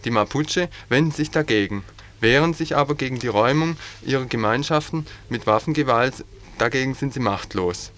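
A man with an ordinary mid-range voice reads the news from a script. His delivery is earnest and steady, like a bulletin on 1980s community radio. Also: mid-range voice, a little fast. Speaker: news reader.

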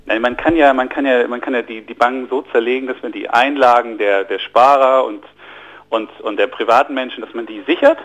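A man's voice: medium pitch, steady tone, fairly quick, fairly bright tone. A man guesting on a radio interview, explaining finance in an earnest, explanatory way and often restarting and repeating words mid-sentence.

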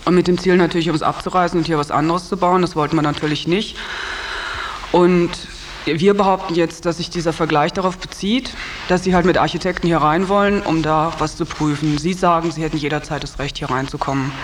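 A man's voice: on the high side, fairly even intonation, brisk. A man giving a matter-of-fact explanation in an unscripted radio interview. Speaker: interviewee, house project resident. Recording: faintly noisy interview recording.

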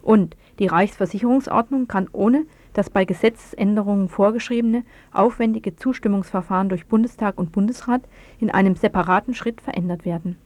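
A woman's voice: mid-range voice, fairly quick, a little mellow, no room sound. A woman presenting on the radio, reading a scripted news report.

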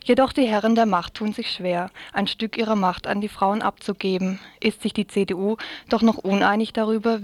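A woman's voice: medium pitch, a little mellow, no echo. A woman reading a scripted news report for radio.

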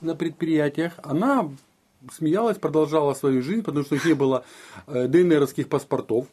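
A man's voice: on the high side, moderate pace, a little mellow, husky. A man giving an explanatory account of his own experience in a casual interview, repeating phrases for emphasis. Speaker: interviewee, eyewitness.

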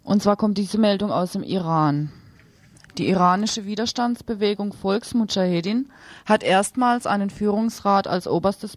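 A woman's voice: medium pitch, no room sound. A woman presenting radio news, reading reports with brief informal introductions.

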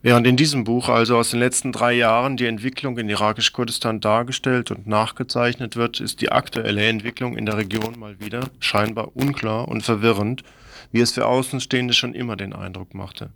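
A man's voice: medium pitch, steady tone, brisk, creaky, fairly bright tone. A man presenting a scripted radio news report in a formal manner, now and then stumbling over the text.

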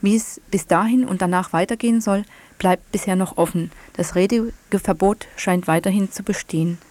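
A woman presents a scripted report as a radio journalist. Her voice sits at an average female pitch. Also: fairly even intonation, normal speed, a little mellow.

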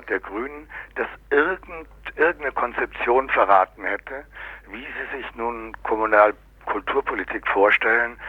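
A man's voice: on the low side, slightly rough, no room sound. A man discusses politics critically and off the cuff, sardonic and colloquial, often stopping to search for words and tagging on little question words. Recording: dull lo-fi local radio recording.

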